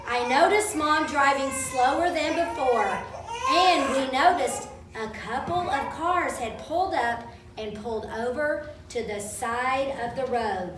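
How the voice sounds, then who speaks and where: A woman reading a childhood story aloud to children at a live event, with dramatic storytelling delivery. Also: high voice. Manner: dramatic, acts out quoted speech. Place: large room at a live event. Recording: roomy, distant microphone, faint background noise.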